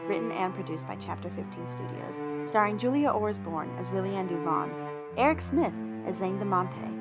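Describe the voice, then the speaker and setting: A woman delivers scripted closing credits for an audio drama as its announcer. Her voice is medium in pitch, with music clearly playing underneath. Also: lively intonation, normal speed. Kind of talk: scripted narration.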